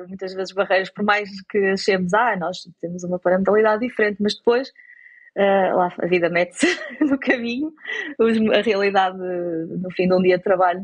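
Husky Portuguese woman, dull recording. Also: medium pitch. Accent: European Portuguese. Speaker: interview guest. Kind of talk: reflective interview talk. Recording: dull, lo-fi interview audio.

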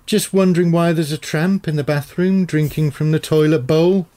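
A man voicing a cheeky teenage boy who talks in blunt casual slang, pitched well above his own voice. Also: steady tone, normal speed, warm tone, natural voice. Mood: uneasy.

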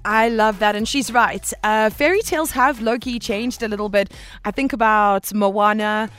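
A woman's voice: mid-range voice, moderate pace, fairly bright tone, clean tone. A woman hosting a radio talk show, speaking in an informal, slangy and emphatic conversational way. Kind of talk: conversational radio commentary with listener voice notes.

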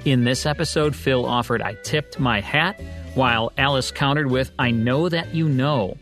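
A man with an American accent and a mid-pitched voice presents as a radio host. He reads scripted commentary in conversation with a co-host. Music plays behind him. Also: moderate pace, a bit bright.

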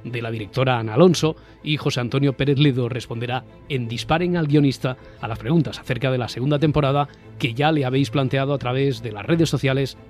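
A man's voice: medium pitch, fast, a little mellow. A man with a Castilian Spanish accent hosting a podcast in an articulate, scripted radio-presenter style. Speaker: podcast host. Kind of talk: scripted presenting of a discussion show. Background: faint music bed.

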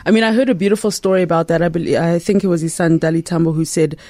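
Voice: mid-range voice, steady tone, racing, mellow, dry recording. Eager interview host, dry close sound. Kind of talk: conversational interview.

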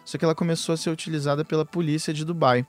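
A man's voice: on the high side, level tone, racing, soft background music. A man co-hosting a casual technology podcast, explaining at length with frequent fillers and restarts. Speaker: podcast co-host. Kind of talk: casual tech podcast chat.

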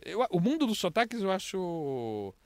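Lively Brazilian man with a mixed accent. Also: normal speed, no echo. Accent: mixed Brazilian Portuguese. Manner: animated storyteller, lively pitch jumps. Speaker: guest, international correspondent. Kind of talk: casual interview chat.

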